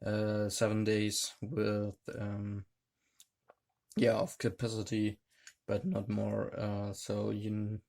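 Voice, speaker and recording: A man reviewing a gadget hands-on, talking in a hesitant, halting way with many fillers. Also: lowish voice, completely flat delivery, creaky, a little mellow. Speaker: tech reviewer. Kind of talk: hands-on product demonstration.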